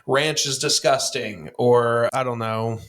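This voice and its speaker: A man's voice: mid-range voice, steady tone, takes it slowly, fairly bright tone. Easygoing young male podcast host. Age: 20s. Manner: easygoing, playful questioner. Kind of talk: casual interview chat.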